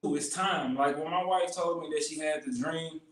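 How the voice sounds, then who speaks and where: A man's voice: fairly high pitch, fairly even intonation, moderate pace. Man from Memphis, echoey and distant. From Memphis, Tennessee. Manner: earnest, faith-filled talk. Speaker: guest. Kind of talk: casual conversation. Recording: roomy sound from off mic.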